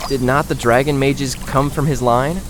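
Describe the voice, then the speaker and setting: An actor playing a young male dragon lord who talks in a gentle, encouraging, slightly formal way. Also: medium pitch, fairly even intonation, moderate pace, lightly acted. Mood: gentle teasing. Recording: hiss-laden recording with bird ambience.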